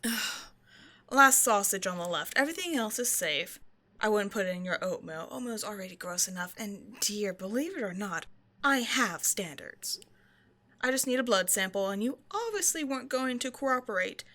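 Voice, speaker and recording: Woman performing a female vampire scientist, mid-pitched, haughty, playful, condescending, theatrical, scripted audio roleplay. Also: lively intonation, moderate pace, pushed and bright. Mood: mock hurt. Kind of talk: scripted ASMR roleplay monologue addressed to the listener.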